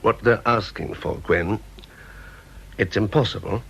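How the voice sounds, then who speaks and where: A man's voice: on the low side, measured pace, creaky, fairly bright tone, husky, full character voice. An actor playing a stern, proud male government official in a radio drama, clipped and officious. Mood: strained, stiff. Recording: muffled, noisy radio drama recording.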